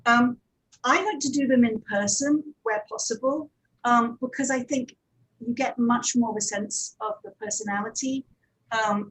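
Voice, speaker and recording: Female panel speaker, slightly high pitch, conversational and a little halting, explanatory delivery. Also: low-quality recording. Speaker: panel speaker, audio drama producer. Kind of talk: conference panel talk.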